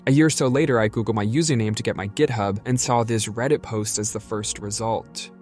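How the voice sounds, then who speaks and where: A man's voice: on the low side, a little fast, a little mellow. A man reading a written message aloud in a plain, explanatory way. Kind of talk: scripted reading of an email. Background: music.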